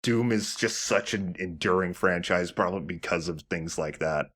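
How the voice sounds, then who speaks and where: Low-pitched man in casual gaming commentary. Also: normal speed, a bit bright. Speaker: let's play host.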